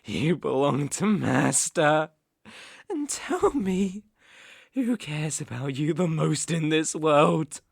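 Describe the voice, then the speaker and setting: A gravelly British mafia boss, acted by a man for an ASMR roleplay, close to the mic in a very dry space. He is possessive and unstable, speaking with brooding, condescending affection and a creaky edge. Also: a little high, sing-song, slow, no room sound, full character voice. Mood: controlling, tender. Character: possessive, unstable male mafia boss, menacingly tender ex-lover. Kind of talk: ASMR roleplay, dramatic monologue.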